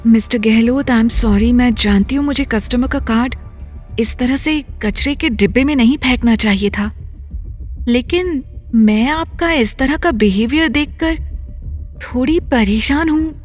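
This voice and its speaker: Casting: performer playing snooty young shopgirl, very high female voice, falsely polite, defensive, audio drama, music behind. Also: unhurried, darkish tone. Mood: apologetic, uneasy. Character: haughty, defensive young female luxury store attendant.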